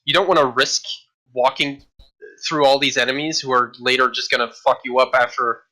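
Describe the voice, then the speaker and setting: A man's voice: medium pitch, fairly even intonation, normal speed, pushed and bright, low-quality recording. A man co-hosting a casual podcast about games, rambling with frequent restarts and casual swearing. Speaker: podcast co-host. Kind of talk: casual chat.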